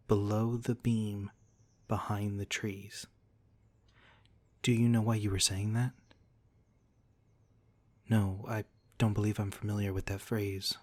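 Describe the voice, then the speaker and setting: A man playing a courteous, protective centuries-old vampire lord in an ASMR roleplay, speaking formally and gently to the listener with polite apology. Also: fairly low pitch, no echo. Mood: concerned.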